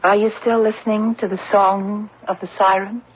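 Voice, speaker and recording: A woman's voice: mid-range voice, level tone, moderate pace, slight background noise, lightly acted. An actress playing a once-timid lady, now guarded and knowing, in a radio drama. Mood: guarded, stunned. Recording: dull lo-fi old radio recording.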